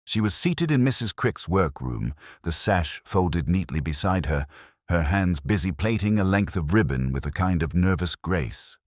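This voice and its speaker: A man's voice: low-pitched, expressive, normal speed, dry recording, clean studio sound. A man narrating a scripted detective story as its storyteller.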